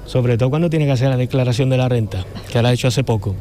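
A middle-aged painter with a Cádiz Andalusian accent, chatting as an interview guest in a loose, rambling way. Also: male voice, medium pitch, fast, a crowd in the background. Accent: Cádiz Andalusian Spanish. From Cádiz, Spain. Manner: rambling, loose talker who often circles back. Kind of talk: relaxed conversational interview.

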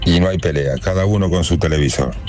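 A man's voice: sits low, fast talker, a little mellow, faint music behind. A man phoning a radio show to talk about watching football at home with his wife. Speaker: caller, football fan. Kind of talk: phone call to a radio show.

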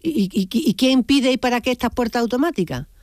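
A woman's voice: on the high side, expressive, fast talker, darkish tone, no room sound. Chatty woman radio host, dry studio. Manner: chatty, prompting, repeats words. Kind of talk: casual call-in interview.

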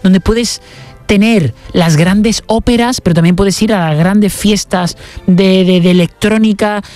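A man with an accent from Spain talking casually as an interview guest, telling stories in an expressive, colloquial way. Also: high-pitched, measured pace, soft background music. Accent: Spanish from Spain. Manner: chatty, expressive storytelling with colloquial slang. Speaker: interview guest, pianist and actor. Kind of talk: casual reflective conversation.

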